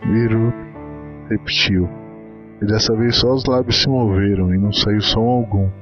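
A man's voice: lowish voice, moderate pace. A man narrating a short story as a scripted dramatic reading. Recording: dull lo-fi audio with music underneath.

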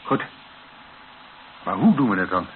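A man's voice: low voice, very animated, unhurried, phone-quality sound. An actor playing an uneasy, questioning man in a war radio drama. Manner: doubtful, questioning. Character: uneasy, questioning male companion in war story. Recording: noisy band-limited old radio recording.

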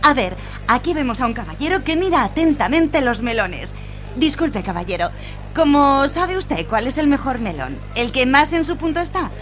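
A woman playing a chirpy female TV reporter in an audio drama speaks with a high, very animated delivery. She reports from a market as if on air, with clear music underneath. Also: normal speed. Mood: upbeat, professional.

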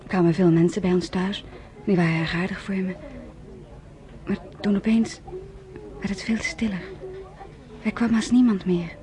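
An actress playing a young woman who calmly recalls her childhood in a radio drama monologue. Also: mid-range voice, normal speed, long pauses, lightly acted. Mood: reflective.

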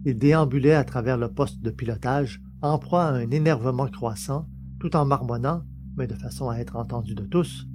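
A man's voice: medium pitch, normal speed, few pauses. A man reading a science-fiction novel as a scripted, dramatic audiobook narration. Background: music.

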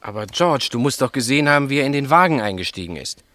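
An actor playing an agitated, decisive milk bar owner who witnessed a crime, in an emphatic, colloquial German radio drama manner. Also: male voice, mid-range voice, lively intonation, quick pace, a bit bright, dry recording, close to an everyday voice. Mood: urging.

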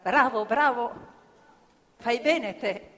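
A woman narrating scripted theatre as an actor, expressive and deliberate in her phrasing. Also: on the high side. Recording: reverberant hall with live music. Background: faint live music.

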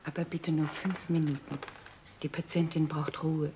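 Woman as a strict but soothing nurse, really low voice, bit of background noise, old radio play. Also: little pitch movement, moderate pace, a little mellow, close to an everyday voice. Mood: businesslike. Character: hushed, protective, businesslike hospital nurse. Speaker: actress in a crime radio play. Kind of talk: mid-century German crime radio drama acting.

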